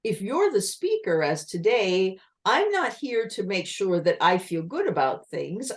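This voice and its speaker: A woman presents an online talk on communication, speaking into a video call microphone. Her pitch rises and falls a great deal as she explains. She keeps putting rhetorical questions to her listeners. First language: English.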